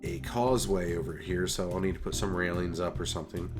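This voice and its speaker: A deep-voiced man with a blocked-up, congested nose talks through his build as he plays. He explains things step by step, full of ums and uhs. Soft music plays faintly under his voice. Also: fairly even intonation, brisk. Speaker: host of a gameplay channel. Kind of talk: casual gameplay commentary.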